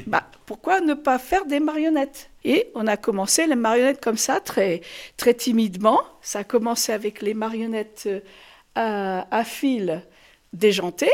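Female artist guest, higher voice, casual, halting explanations with repeated words. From France. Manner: hesitant, often repeating words as she explains. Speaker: puppet maker and artist, interview guest. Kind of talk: casual interview.

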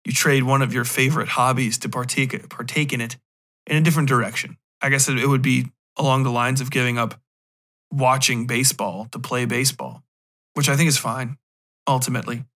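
A man's voice: medium pitch, fairly even intonation, brisk, sharp tone. A man with a mid-range voice hosts a solo podcast. He talks casually and loosely, often interrupting his own thoughts with wry asides. His language is informal and occasionally profane. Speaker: podcast host.

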